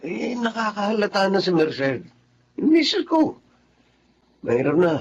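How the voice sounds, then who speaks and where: Sly married lover, coaxing, animated pitch, lo-fi sound, radio drama. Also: male voice, unhurried, breathy edge, full character voice. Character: married man keeping a mistress, smooth and sly. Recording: slightly dull, lo-fi sound.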